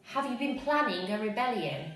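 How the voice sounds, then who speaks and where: A woman's voice: fairly high pitch, lively intonation, moderate pace, a bit bright, soft background music, pitched above the performer's own voice. A woman voicing a perplexed male Roman governor who questions a prisoner and makes announcements to a crowd in a storybook reading. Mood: questioning. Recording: very echoey room.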